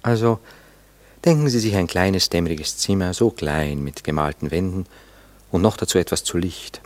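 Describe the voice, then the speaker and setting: An actor playing a courteous, reserved gentleman in a radio play, speaking in a polite, reflective and evasive way. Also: low-pitched, animated, normal speed. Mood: reflective, dreamy. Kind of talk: intimate radio play dialogue.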